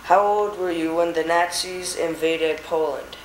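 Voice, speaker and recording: A man's voice: fairly high pitch, steady tone, a little slow, echoey room, slight background noise, low-quality recording. A young male interviewer asking short prepared questions for a school project.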